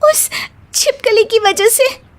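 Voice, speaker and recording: A woman's voice: very high-pitched, slow pace. A woman voicing a timid young daughter-in-law in a cartoon story, plaintive but cheeky when she answers back. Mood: nervous, cheeky. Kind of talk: Hindi cartoon story dialogue.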